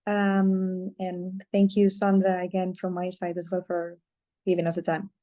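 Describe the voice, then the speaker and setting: A woman panelist introducing herself in a measured way, with a few fillers. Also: fairly low pitch, completely flat delivery, dark tone. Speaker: panelist, organization founder. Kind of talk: formal self-introduction.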